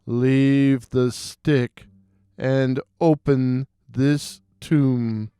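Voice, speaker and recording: A man plays a sinister blood-drinking corpse from a ghostly folktale. It gives its orders very slowly, drawing out the words in a dark, smooth tone. The sound is dry and close. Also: medium pitch, fairly even intonation, dry recording. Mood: grim command. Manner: slow, drawn-out, commanding.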